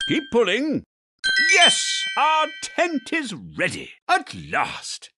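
A woman's voice: a little high, huge pitch swings, drawn-out words, very noisy. A woman narrating a children's game in an encouraging, praising, sing-song way. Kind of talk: scripted narration for young children. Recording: game audio over loud music.

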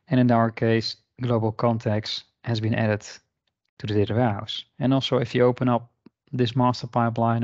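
A man narrating an unscripted screen-share software tutorial in an informal, explanatory way. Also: mid-range voice, dry recording, highs cut off. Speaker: software demonstrator. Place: indoor voice-over for screen recording.

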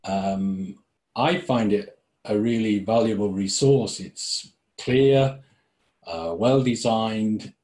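A man hosting a community podcast, speaking in an unhurried, measured way with frequent ums. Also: mid-range voice, warm tone. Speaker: podcast host.

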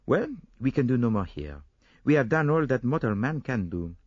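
A man voicing an older, fussy and excitable Belgian detective with a pompous, theatrical manner. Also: mid-range voice, moderate pace, breathy edge, no echo, highs cut off, voice pushed up. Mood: self-assured.

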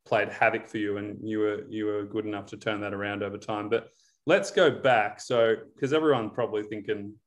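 A man's voice: lowish voice, steady tone, fairly quick. Chatty man on a video call. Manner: chatty, laughs often, frequent fillers. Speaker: interviewer, recovery program coach. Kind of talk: casual video-call interview. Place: indoor room.

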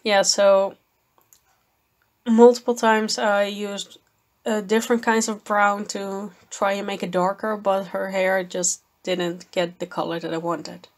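Slow-talking woman losing her voice. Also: medium pitch, level tone. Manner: slow, thoughtful, with fillers and small laughs. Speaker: art channel host. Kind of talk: voice-over commentary on a painting video.

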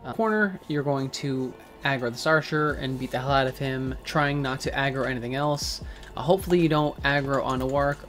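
A man giving a relaxed, casual walkthrough of a game over gameplay, with frequent fillers. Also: medium pitch, normal speed, hardly any pauses, soft background music. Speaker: gaming guide creator.

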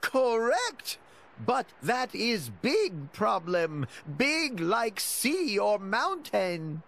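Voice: mid-range voice, big pitch swings, extremely slow, creaky, fairly bright tone, full character voice. An actor playing an older furry male creature merchant in a video game, blustery and friendly, speaking in broken grammar. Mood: grave. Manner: broken grammar, drops articles, exclaims. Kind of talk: video game character acting.

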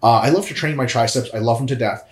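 A man in his thirties on a couch, answering viewer questions in a casual, jokey, profane way, with big swings in pitch. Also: fast talker. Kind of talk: casual chat. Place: indoor room, on a couch. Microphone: lapel mic. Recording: echoey room.

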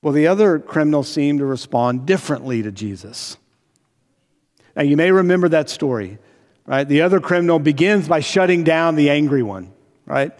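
An older male pastor delivers a sermon in a fairly high voice. He talks to listeners conversationally, checking in with questions and leaning slowly on key phrases. The sound carries the long reverb of a large church sanctuary. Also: expressive, a little mellow. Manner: conversational, retelling with rhetorical questions and tag "right?". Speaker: pastor preaching.

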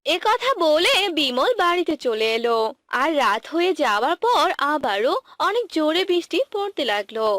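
A woman's voice: high-pitched, sing-song, normal speed, a bit bright, dry recording, clean studio sound. A woman narrating a scripted children's story.

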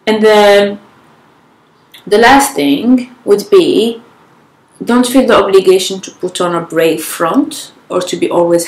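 Young woman, tired and stuffy-nosed, frank and unhurried, mid pitch, roomy living-room echo. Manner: frank, unhurried, with small restarts. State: nasal from a cold, tired. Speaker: vlogger. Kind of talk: casual advice talk to camera. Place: living room with sofa, indoors. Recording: echoey room.

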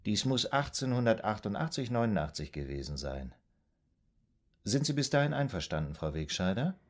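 A deep, measured psychoanalyst. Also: male voice, big pitch swings. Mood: measured, probing. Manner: measured, formal, probing. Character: calm, methodical, patient male psychoanalyst in 1920s Vienna. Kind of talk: German radio drama acting.

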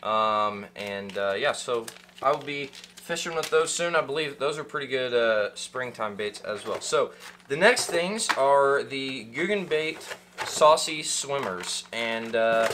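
A man's voice: mid-range voice, lively intonation, a little slow, noisy background. Young man rambling through a casual unboxing. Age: young adult. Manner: rambling, many ums and uhs, restarts. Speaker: fishing channel host. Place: small room indoors, seated at desk.

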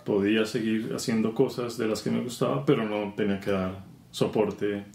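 A Colombian man guest talking casually about his work in software testing, relaxed with many fillers. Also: on the low side, normal speed, gravelly. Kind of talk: casual interview. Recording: roomy conference venue.

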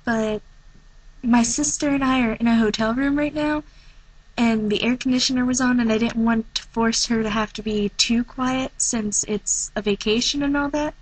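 High-voiced woman, casual amateur voice actor. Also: fairly even intonation, normal speed, breathy edge, rough recording. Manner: apologetic. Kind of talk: casual audition talk. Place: hotel room.

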